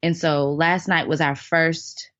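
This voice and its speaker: A woman in her thirties, a dance guest on a podcast, telling her stories in an earnest, animated way. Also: deep voice, slow pace, no room sound, highs cut off. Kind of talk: casual interview storytelling.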